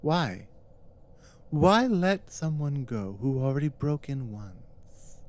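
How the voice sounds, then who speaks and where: Casting: performer as dominant giantess captor for ASMR roleplay; very slow, deliberate delivery, stern, taunting, condescending. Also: medium pitch, big pitch swings. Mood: cold, taunting.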